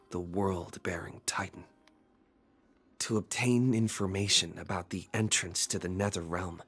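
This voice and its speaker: A man's voice: fairly low pitch, a bit bright. A man playing an adult hero who solemnly recounts an epic fantasy journey as scripted video game narration. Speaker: story narrator.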